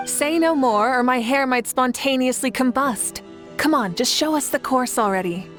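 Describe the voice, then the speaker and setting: High-voiced, confident young warrior woman. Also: normal speed, a bit bright. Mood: teasing. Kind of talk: video game voice acting. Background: music.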